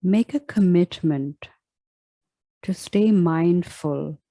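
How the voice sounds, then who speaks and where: A woman leading a guided meditation as a teacher, speaking in a soft, deliberate, instructional way. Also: on the low side, no room sound.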